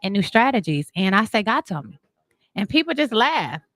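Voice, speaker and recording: A woman in her thirties chatting informally as a talk show guest, telling stories in an animated way. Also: medium pitch, moderate pace, no echo. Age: 30s. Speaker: talk show guest, marketing and branding entrepreneur. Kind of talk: casual interview chat.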